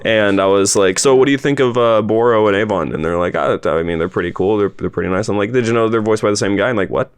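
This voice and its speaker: A man's voice: fairly low pitch, fast talker, hardly any pauses, clear, resonant tone. A man chatting casually and enthusiastically as he hosts a discussion and interviews a cast member. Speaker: host, voice actor and producer of an audio series.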